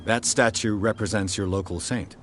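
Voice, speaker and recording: A man voicing a calm, inquisitive private detective in a video game, asking dry questions. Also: fairly low pitch, moderate pace, a little hiss or hum, lightly acted.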